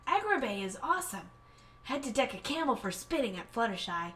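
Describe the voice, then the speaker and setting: A woman's voice: fairly high pitch, big pitch swings, brisk, fairly bright tone, distant mic. A woman voicing a brash, adventurous female pony, reading a breezy, clipped postcard in a fan audio drama.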